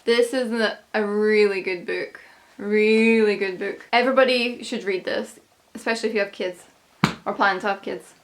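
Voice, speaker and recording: A young woman from Scotland with a Scottish accent, chatting casually to camera on her own channel, upbeat and quick to laugh. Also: mid-range voice, fairly even intonation, noisy background. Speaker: YouTube vlogger.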